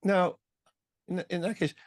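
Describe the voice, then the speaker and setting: An older man from Montreal interviewing a guest in a casual, halting and encouraging way. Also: high voice, steady tone, no room sound, clean studio sound. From Montreal, Canada. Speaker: interviewer, host.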